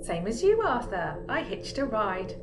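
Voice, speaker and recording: A woman's voice: mid-range voice, big pitch swings, a little slow, over music. A woman playing a clever, unflappable young space traveller with a dry, matter-of-fact manner in amateur audio comedy. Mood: cool, wry. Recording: background noise behind the voice.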